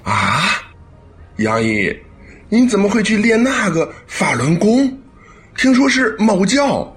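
A flustered polite young man, radio drama, kinda high voice, really animated, music behind. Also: slow, exaggerated voice. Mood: surprised, doubtful. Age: young adult.

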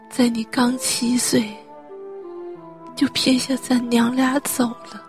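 A woman playing an anxious, tearful, upright widowed mother in a Chinese radio drama, sighing and pleading. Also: on the high side. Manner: sighing, pleading, slow and breathy.

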